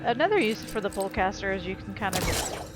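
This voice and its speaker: A woman's voice: medium pitch, animated, brisk. A woman giving casual gameplay commentary in an offhand, mildly critical way. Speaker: gaming streamer. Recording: game music behind.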